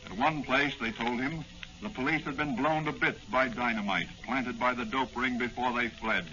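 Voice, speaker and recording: A man's voice: medium pitch, moderate pace, bright, forceful tone, lo-fi sound. A man narrating a scripted 1940s radio drama in an urgent, dramatic manner. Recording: band-limited old-time radio with faint noise.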